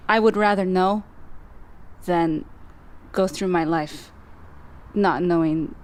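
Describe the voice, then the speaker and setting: A woman roleplaying an earnest, vulnerable amnesiac woman with a halting, pleading way of talking. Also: lowish voice, a little mellow. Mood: pleading, emotional.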